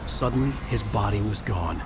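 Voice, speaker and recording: An actor playing a haunted thriller writer, giving brooding, measured narration in a video game. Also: male voice, fairly low pitch, very noisy, close to an everyday voice. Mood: brooding, uneasy. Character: haunted male thriller writer recounting his nightmare. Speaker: narrator and lead character. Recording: loud music over the voice.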